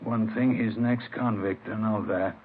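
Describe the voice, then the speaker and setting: An actor playing a hesitant, insinuating neighbour who informs on others in a vintage radio crime drama. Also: male voice, fairly low pitch, steady tone, moderate pace, husky. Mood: wary, insinuating. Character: wary, gossiping male neighbour informing on someone. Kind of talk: 1950s radio drama acting. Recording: tinny old radio recording.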